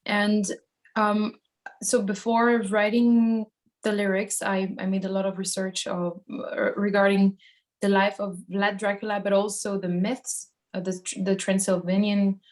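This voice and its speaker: A woman's voice: mid-range voice, level tone, unhurried. A woman from Switzerland, a band singer, giving casual interview answers in a thoughtful, hesitant way as she searches for phrasing.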